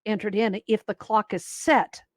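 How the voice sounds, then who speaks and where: A woman's voice: medium pitch, fairly even intonation, a little slow, dry recording. A woman moderating a casual panel discussion over online voice chat, talking conversationally.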